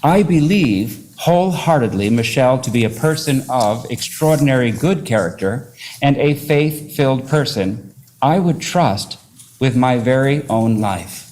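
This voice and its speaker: Earnest pastor reading a court statement. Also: male voice, mid-range voice, animated, a little mellow, low-quality recording. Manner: measured, earnest, formal delivery.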